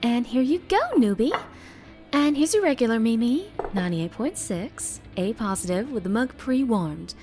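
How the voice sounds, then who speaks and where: Woman voicing a high, chirpy Southern diner waitress. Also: lively intonation, moderate pace, music in the background, close to an everyday voice. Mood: helpful, cheery. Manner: chirpy, eager, friendly service patter. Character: Marianne, sweet chirpy small-town diner waitress. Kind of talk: roleplay ASMR audio drama.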